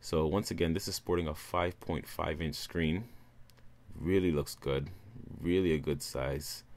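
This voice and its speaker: Low-voiced man unboxing a phone. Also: a little slow. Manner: relaxed, upbeat presenter addressing viewers as guys. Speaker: tech reviewer. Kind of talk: casual unboxing voice-over.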